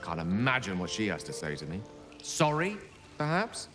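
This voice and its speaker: A man's voice: fairly low pitch, huge pitch swings, normal speed, music in the background. A man playing an eloquent, wounded wizard in a fantasy game, dry, wry and articulate. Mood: bitter, sardonic. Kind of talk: fantasy video game acting.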